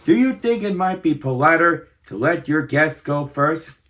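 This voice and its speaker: A kindly opossum mother from a children's storybook , read by a man. She is careful and caring, in a slightly raised, expressive voice. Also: fairly high pitch, a little slow. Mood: gently correcting.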